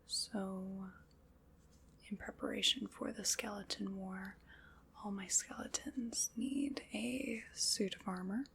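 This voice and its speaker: ASMR roleplay: woman voicing a wry undead skeleton commander, slow gravelly whisper. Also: mid-range voice, fairly even intonation. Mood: teasing whisper. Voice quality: soft whispering. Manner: dry, teasing, unhurried.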